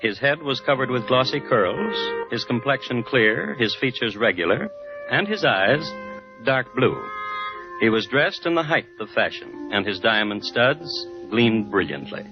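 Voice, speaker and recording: An actor playing a wry, literary storyteller, the host narrating a 1950s radio crime drama in a measured manner. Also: male voice, mid-range voice, sing-song. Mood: measured, ironic. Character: wry male storyteller recounting old true crimes. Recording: dull old radio recording with music.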